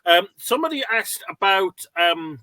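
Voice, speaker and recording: A man's voice: sits high, sing-song, moderate pace, pushed and bright, dry recording. A man hosting an online webinar in a casual, rambling, conversational way. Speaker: webinar host. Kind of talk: casual chat, informal hosting. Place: online video call.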